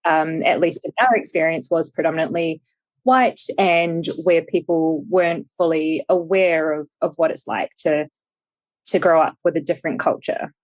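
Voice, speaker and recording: A woman's voice: low voice, normal speed, no echo, low-quality recording. A young woman with a New Zealand accent, a podcast guest talking thoughtfully with many ums and restarts. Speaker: podcast guest, startup founder. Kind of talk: casual interview chat.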